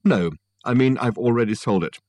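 A man voicing a brisk upper-class mother in an audiobook, sweetly condescending and ruthless. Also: mid-range voice, a little mellow, no room sound, pitched above the performer's own voice, natural voice. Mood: reasonable, unyielding.

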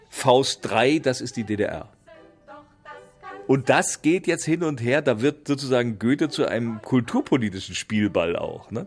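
Emphatic man explaining literature. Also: medium pitch. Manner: opinionated, emphatic, often adds tag questions. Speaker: literary expert. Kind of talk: informal interview commentary on literature.

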